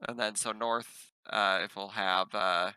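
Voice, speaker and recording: A man's voice: lowish voice, fairly even intonation, a little slow, bright, forceful tone, clean tone, no echo. A man playing a tabletop game, chatting casually and lightly in character. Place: close, dry mic in an online game session.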